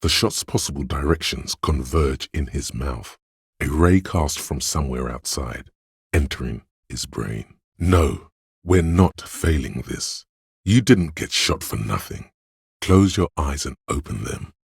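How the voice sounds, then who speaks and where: A man voicing an analytical inner voice in a video game, narrating in a measured, dryly deadpan and precise way. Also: rumbling low, creaky, breathy edge, no room sound, studio quality, full character voice. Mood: determined. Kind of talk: scripted game narration, second person.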